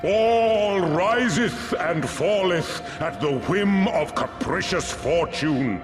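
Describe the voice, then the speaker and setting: A grandiose male deity for a fantasy game, revelling in battle. He declaims archaic lines extremely slowly with dramatic swells and dips. His voice echoes widely over music. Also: sits high, animated. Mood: grand, ominous. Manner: slow, pompous, declamatory, archaic diction. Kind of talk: archaic theatrical game cutscene acting. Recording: echoing voice with music behind.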